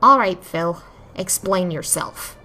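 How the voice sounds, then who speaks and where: A woman's voice: lowish voice, slow pace, pushed and bright. A woman acting an exasperated, outspoken character in an audio drama, pressing with pointed questions. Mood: demanding. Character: exasperated, outspoken woman confronting her stubborn partner. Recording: music behind the voice.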